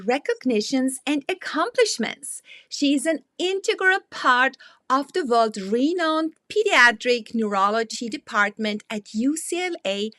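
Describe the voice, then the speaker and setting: Bright-voiced woman hosting an interview. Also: on the high side, no echo, studio quality. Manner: reads intro formally, hesitant with fillers when asking. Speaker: host. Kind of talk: interview introduction and questions.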